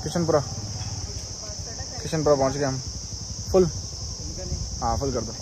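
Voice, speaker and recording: Male travel vlogger, casual chatty narration, mid pitch, heavy car and road noise, camera mic. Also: measured pace. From Rajasthan, India. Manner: chatty, relaxed, addresses viewers as guys. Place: inside a car on a highway. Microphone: compact camera's built-in mic. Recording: loud road and engine noise.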